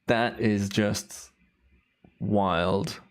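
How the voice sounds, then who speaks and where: A man's voice: lowish voice, fairly even intonation, extremely slow. A man hosting a live stream in casual, enthusiastic commentary, his voice a little worn from a long day of recording. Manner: enthusiastic, awed exclamations. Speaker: live stream host, timelapse photographer.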